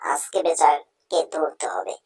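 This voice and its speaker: A woman's voice: low-pitched, expressive, a little slow, vocal fry, darkish tone, slightly rough, exaggerated voice. A woman voicing a stern male village moneylender in a cartoon story, menacing and domineering. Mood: sly, confronting. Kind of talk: Bengali cartoon story dubbing.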